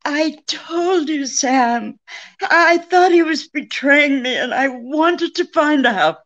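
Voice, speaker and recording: High, slow, imploring femme fatale. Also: female voice, animated, fairly bright tone, muffled recording, full character voice. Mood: anxious. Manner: breathless, halting, imploring. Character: pleading, deceitful young femme fatale. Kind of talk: old-time radio drama acting.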